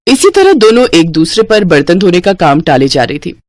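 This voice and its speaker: A woman's voice: fairly low pitch, sing-song, normal speed, a little mellow, dry recording. A woman narrating a scripted family moral story as storyteller.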